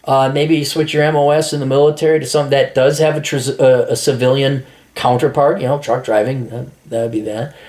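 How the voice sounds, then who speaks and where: A man's voice: mid-range voice, level tone, fairly quick, darkish tone. A man hosting a podcast, giving blunt, profane career advice in a rambling, casual monologue full of restarts.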